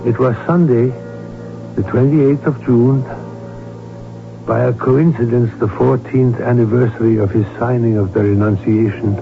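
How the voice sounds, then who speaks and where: A man's voice: medium pitch, gravelly, close to an everyday voice. An actor playing an outspoken, agitated royal physician who tells his story with urgency and emphasis in a 1970s radio drama. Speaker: doctor character who also narrates. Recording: tinny narrowband old radio recording, music behind.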